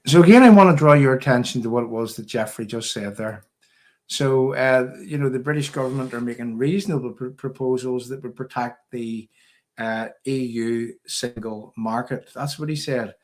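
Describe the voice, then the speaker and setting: A man's voice: mid-range voice, moderate pace. A man with a Northern Irish accent hosting a live political commentary show, talking to viewers in a rambling, repetitive, emphatic way.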